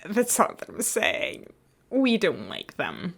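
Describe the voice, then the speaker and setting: A woman's voice: medium pitch, huge pitch swings, slow, vocal fry, husky. A woman giving casual, unscripted commentary in an enthusiastic fan-chat manner.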